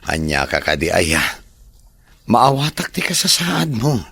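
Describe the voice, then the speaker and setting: Calm working husband in an ilocano radio drama, deep voice, no room echo. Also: male voice, measured pace, vocal fry, breathy edge, full character voice. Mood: reassuring. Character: calm, patient working husband of an ailing wife.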